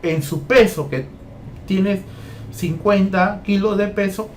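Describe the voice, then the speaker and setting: A man's voice: high voice, slight background noise. A man from Peru training teachers, walking through a lesson plan and repeating phrases as he goes. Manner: repeats phrases, keeps asking "¿ya?".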